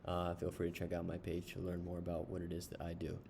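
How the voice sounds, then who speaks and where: Man, low dark voice, unhurried screen-share review, filler-heavy. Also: completely flat delivery, gravelly, slight background noise. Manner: unhurried, with frequent ums and uhs while browsing. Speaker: reviewer of online writing services.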